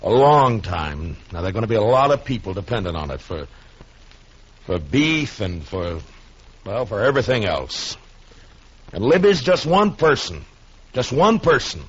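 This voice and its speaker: A man playing a weary, troubled frontier marshal in a 1950s radio western, brooding, clipped and plain-spoken, with a rough edge. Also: lowish voice, sing-song, normal speed, pauses often. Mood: troubled, bitter. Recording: muffled old 1950s radio recording.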